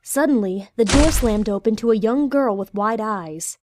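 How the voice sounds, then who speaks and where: A woman's voice: fairly high pitch, moderate pace, over music. A woman reading scripted story narration for an audio drama.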